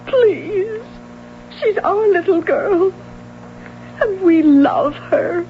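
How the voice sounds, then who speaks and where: A woman's voice: extremely high pitch, drawn-out words, background noise, full character voice. An actress playing a desperate mother who tearfully begs a police sergeant to find her daughter, in an old radio drama. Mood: tearful pleading. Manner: tearful, pleading. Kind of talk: 1970s radio drama acting. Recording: tinny old radio broadcast.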